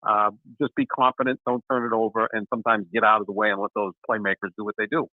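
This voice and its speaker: A man in his sixties co-hosting a sports talk show, giving fluent, steady football analysis. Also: on the low side, quick pace, no echo. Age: 60s. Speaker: sports radio co-host. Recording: dry, tinny phone-like line.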